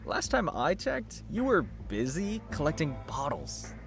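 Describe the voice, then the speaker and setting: An actor playing a chatty, good-natured art buff in a video game, with an expressive, lecturing and teasing way of talking. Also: male voice, fairly high pitch, measured pace, creaky, faint music behind, full character voice. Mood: teasing, wounded.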